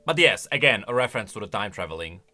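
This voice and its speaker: A man giving casual, animated reaction commentary on game voice lines, with emphatic bursts and quieter asides. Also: lowish voice, quick pace.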